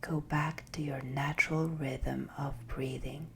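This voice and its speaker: A woman guiding a meditation in a soft, breathy, half-whispered voice, gentle and soothing with long pauses. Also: a bass voice, flat delivery, a little hiss or hum. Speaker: meditation guide. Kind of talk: guided meditation, slow scripted narration.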